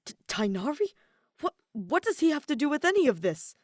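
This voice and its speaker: A woman voicing a dramatic, indignant young man in a story, bickering in a loud, incredulous, spluttering way. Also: sits high, sing-song, brisk, no echo, clean studio sound, voice pushed up. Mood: flustered, indignant.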